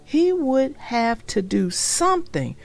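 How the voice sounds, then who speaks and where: A woman narrating a children's storybook as a read-aloud, in an expressive, unhurried storytelling style. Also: high voice, gravelly, faint music behind.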